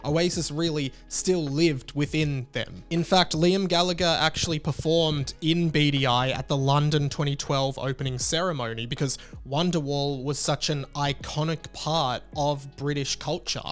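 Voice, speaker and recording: A man's voice: on the high side, steady tone, normal speed, hardly any pauses. An Australian man hosting a music vlog, giving casual, opinionated commentary in an informal, emphatic way. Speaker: music vlogger, host.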